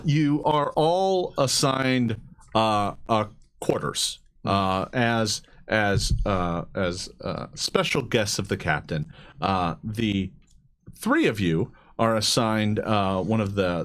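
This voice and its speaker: Halting man running a tabletop game. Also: on the low side, fairly bright tone. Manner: halting, repeats words, chuckles. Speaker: game master. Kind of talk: improvised tabletop game narration.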